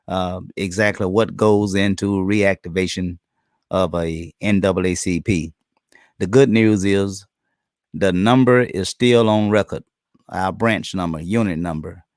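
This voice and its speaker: A man hosting a live-streamed talk show, talking in a loose, halting way full of ums and uhs. His voice sits a little low and is going hoarse, with frequent throat clearing. The sound is dry and close, with no room around it. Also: no echo. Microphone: computer or phone on internet stream.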